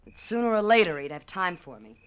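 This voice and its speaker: A woman playing a bitter, sardonic neglected wife, with sharp wisecracks in theatrical 1940s radio drama style. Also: mid-range voice, expressive, fairly quick, clean tone, lightly acted.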